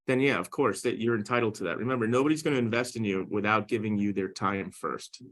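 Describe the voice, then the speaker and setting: A man's voice: fairly low pitch, fast talker. A man speaking as an interview guest and founder, in a casual, conversational and emphatic way.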